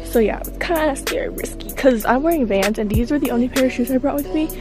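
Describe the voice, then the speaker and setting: Chatty young woman, filler-heavy travel vlog on a phone, slightly high voice over background music. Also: fast. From San Francisco. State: out of breath. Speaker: vlogger. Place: outdoors on a canyon trail. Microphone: handheld phone at arm's length. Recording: music playing under voice.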